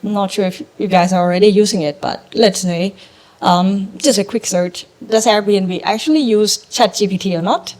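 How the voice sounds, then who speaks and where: A young woman gives a talk to an audience at a middle pitch. She explains things step by step in a measured way. She fills pauses with ums and her English grammar slips now and then. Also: a little mellow. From Thailand. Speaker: startup co-founder giving a talk. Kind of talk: presentation to a live audience.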